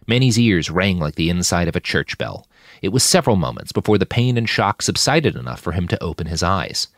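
A man with a low voice narrates fiction with drama and very animated intonation. The delivery is expressive and scripted, recorded dry and close. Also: no echo. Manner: expressive, shouts out characters' lines. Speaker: audiobook narrator reading own fiction podcast.

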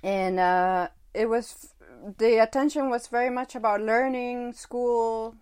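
A woman from Guatemala, a mother of a teenager, speaking as a podcast guest in a reflective, halting way with many "you know"s. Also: on the high side, fairly even intonation, a little mellow, clear, resonant tone. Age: adult with a teenage son. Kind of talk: conversational interview.

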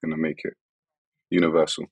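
Guy, super deep gravelly voice, chill and laughing a lot, dry sound. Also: monotone, brisk, no room sound. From England. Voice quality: gravelly, husky. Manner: laid-back, laughs often. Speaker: musician guest. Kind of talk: casual podcast chat.

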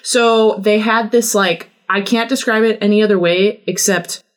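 A woman's voice: medium pitch, fairly even intonation, normal speed. A woman guest on a podcast, telling casual comic anecdotes in a playful, mock-serious way.

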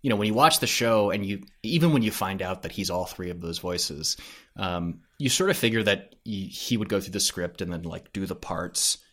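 A man's voice: sits low, expressive, moderate pace, fairly bright tone. A man talking casually as a podcast guest, hesitant and thinking aloud as he answers. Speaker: podcast creator, interview guest. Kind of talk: casual interview conversation.

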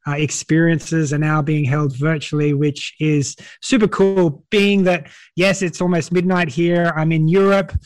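A man's voice: on the high side, steady tone, a little slow, hardly any pauses, no echo. Upbeat Australian man, dry sound. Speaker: guest. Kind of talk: casual interview talk. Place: home at night, video call.

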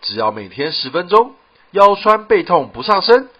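A man's voice: high-pitched, big pitch swings, normal speed, slightly rough, full character voice. A man playing an eager, naively cheerful young bookstore clerk in a comedic radio play, chirpy and gullible. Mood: delighted. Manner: chirpy, gullible, overly upbeat. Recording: slightly muffled, music behind.

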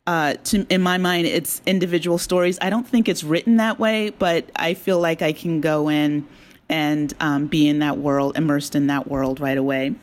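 Chatty woman with a lower-pitched voice. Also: steady tone, normal speed. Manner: chatty, appreciative, laughs easily. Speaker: interview guest, audio drama creator. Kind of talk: casual conversational interview.

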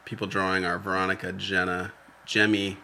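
A man's voice: sits low, flat delivery, fairly quick, bright, forceful tone, a little hiss or hum. A man co-hosting a podcast, recapping a reality show in loose, joking, profane chat. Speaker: podcast co-host.

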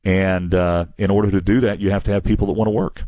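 A man's voice: low voice, steady tone, fast, dark tone, no echo. A man hosting a call-in home improvement radio show, talking plainly and earnestly with many restarts and repeated words. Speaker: radio show host and window company owner. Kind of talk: call-in radio advice talk.

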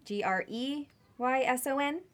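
A woman's voice: high-pitched, a little slow. A young woman playing a hurt girlfriend who speaks in an exasperated, sarcastic way.

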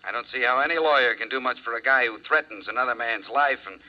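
Actor as no-nonsense cop, mid pitch, old radio sound. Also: male voice, fast talker, close to an everyday voice. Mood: brusque. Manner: matter-of-fact, brusque. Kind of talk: 1950s radio drama acting. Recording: dull lo-fi old radio broadcast.

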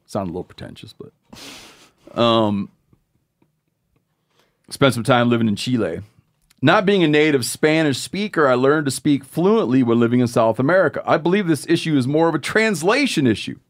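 A man's voice: mid-range voice, sing-song, moderate pace. A man hosting a casual podcast chat, reading listener mail aloud and making joking asides. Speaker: podcast host.

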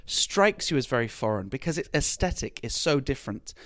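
A man from England, a comedian, giving energetic and articulate answers as an interview guest. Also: mid-range voice, brisk, no room sound, clean studio sound. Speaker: comedian, podcast host, guest.

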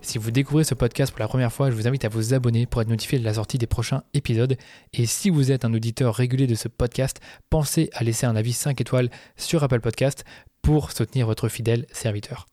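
Fast-talking podcast host, dry close sound. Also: male voice, mid-range voice, steady tone, mellow, dry recording. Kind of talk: scripted podcast intro then casual interview.

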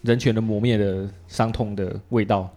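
Low-pitched Taiwanese Mandarin-speaking man. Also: fairly even intonation, moderate pace, mellow. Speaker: podcast host. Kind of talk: casual interview chat.

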